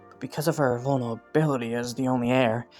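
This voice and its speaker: A man playing an ambitious young prince in a romantic fantasy audio roleplay, with formal, courtly phrasing and soft hesitations, scheming yet earnest. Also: mid-range voice, steady tone, fast talker, faint music behind, close to an everyday voice. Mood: businesslike, scheming.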